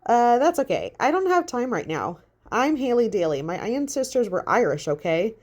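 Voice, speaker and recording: A spunky young girl in a storybook, brisk and impatient, with a brighter, higher lift than the narration around her, as a woman reads her. She sounds sure of herself and in a hurry. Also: very animated. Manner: brisk, impatient, self-assured.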